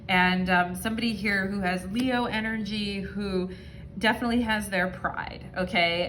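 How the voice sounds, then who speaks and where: A woman with a fairly low voice gives a relaxed tarot reading straight to camera. She rambles from thought to thought and keeps checking in with her listeners. A faint hiss of background noise sits under her voice. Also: low-quality recording. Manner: chatty, rambling, frequent "you know" and "okay". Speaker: tarot reader. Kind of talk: casual spoken tarot reading to viewers.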